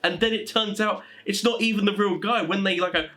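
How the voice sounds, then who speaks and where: A man's voice: sits high, steady tone, brisk, pushed and bright. A man talking informally as a host giving tabletop game advice, in a chatty, rambling, enthusiastic way.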